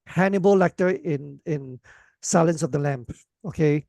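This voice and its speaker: A middle-aged man with a fairly high voice chats as a podcast guest. He rambles in a relaxed way, often checks in with the listener and laughs easily. His recording is close and dry. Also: normal speed, gravelly, no echo. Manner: relaxed, rambling, frequent "you know what I mean", laughs often. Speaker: actor and action choreographer guest. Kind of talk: casual podcast interview.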